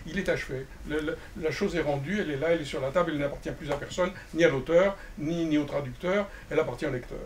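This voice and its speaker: A man who works as a literary translator, speaking at a bookshop panel in a measured, reflective way with frequent restarts. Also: fairly high pitch. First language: not Romanian. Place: bookshop event. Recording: distant mic with faint ambient noise.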